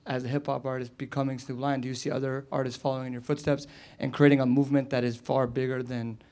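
A man's voice: mid-range voice, flat delivery, fast talker, darkish tone. A man asking an earnest, rambling interview question.

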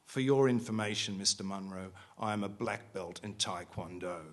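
A man's voice: medium pitch, measured pace, pitched above the performer's own voice. Storybook woman, prim and unshakeable, matter-of-fact, voiced by a male reader. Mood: lecturing. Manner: calm, matter-of-fact, lecturing. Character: prim, self-assured woman martial artist.

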